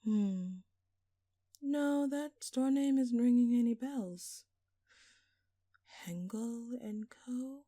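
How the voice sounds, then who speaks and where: Woman acting as a slow, smug, flirtatious thief. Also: a little high, warm tone, no room sound, clean studio sound. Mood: feigned innocence. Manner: teasing, theatrical, playfully sarcastic. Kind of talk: intimate scripted audio roleplay.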